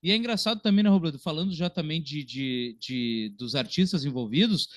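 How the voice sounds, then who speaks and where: A man with a Brazilian accent hosting a casual film podcast in an animated, jokey manner. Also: on the high side, fairly quick, no room sound, clean studio sound.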